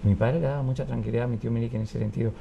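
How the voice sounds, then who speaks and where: A man in his fifties, born in Cuba, chatting as an interview guest in a wood-panelled studio, telling family anecdotes with lots of restarts and lively acted quotes. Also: medium pitch, very fast, vocal fry, highs cut off, slight background noise. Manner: animated, gestures with hands, many restarts and acted quotes. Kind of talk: relaxed conversational storytelling. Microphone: lapel mic.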